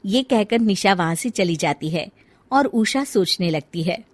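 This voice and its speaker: A woman's voice: mid-range voice, lively intonation, a little slow, no echo. A woman reading scripted narration for a moral story as its narrator.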